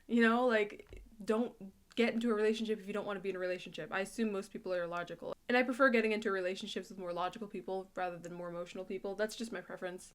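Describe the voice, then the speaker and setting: A woman giving casual, animated reaction commentary as a host, often acting out how she imagines people would respond. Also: medium pitch.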